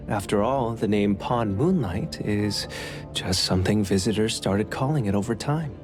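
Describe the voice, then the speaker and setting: A calm, enigmatic anime game man with a lowish voice. He explains politely and in measured phrases, over clearly audible music. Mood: calm, explanatory. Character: calm, courteous, enigmatic man keeping a supernatural pawnshop.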